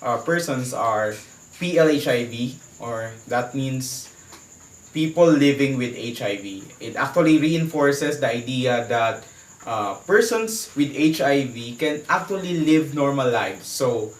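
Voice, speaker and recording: A man's voice: mid-range voice, expressive, a little slow. A man with a Filipino accent, speaking as an expert guest and explaining terms in an interview. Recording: echoey room, distant mic, faint noise.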